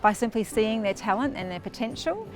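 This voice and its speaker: A woman's voice: fairly high pitch, expressive, a little fast. A woman speaking as a company spokesperson in a corporate interview, polished and articulate. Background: music.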